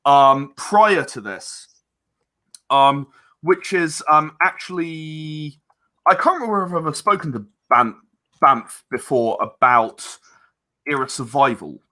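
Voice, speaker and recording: A man speaking as a guest in a casual podcast interview, halting and rambling as he explains. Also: fairly high pitch, animated, pushed and bright. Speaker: interview guest, audio drama producer.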